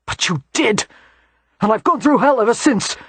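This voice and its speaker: A man voicing a bitter, traumatized male ex-soldier who shouts his accusations in a strained, tearful voice. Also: high voice, normal speed, husky, dry recording. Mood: furious. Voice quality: strained, near tears.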